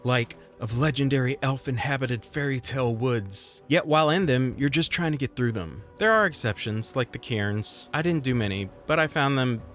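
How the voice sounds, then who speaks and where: A man's voice: medium pitch, a bit bright. Wry man reading his game review. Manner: wry, conversational, self-deprecating asides. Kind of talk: scripted review voice-over.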